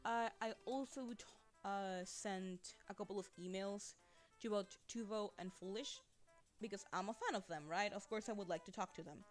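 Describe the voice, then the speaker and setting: A woman's voice: medium pitch, moderate pace, soft background music. A young woman whose first language is Spanish, chatting casually on a livestream, rambling and laughing often into a desk microphone. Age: young adult. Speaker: streamer.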